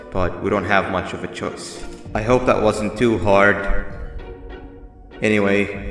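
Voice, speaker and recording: An actor voicing a calm, grave monster mascot in a video game, giving measured, formal instructions like a schemer. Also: male voice, low-pitched. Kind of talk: scripted video game dialogue. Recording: roomy reverb with music underneath.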